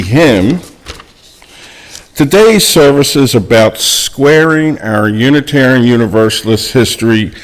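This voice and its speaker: A man reads the call to worship in a church sanctuary. He speaks at a very slow, deliberate pace in a mid-range voice, solemn and measured. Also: mid-range voice, rough recording. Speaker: service co-leader.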